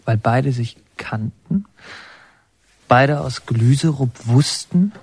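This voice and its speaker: An actor playing a brooding young inmate in an introspective radio monologue, musing in halting fragments, breathy and often half-whispered. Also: male voice, mid-range voice, darkish tone. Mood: brooding, remembering. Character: brooding young male inmate remembering his father.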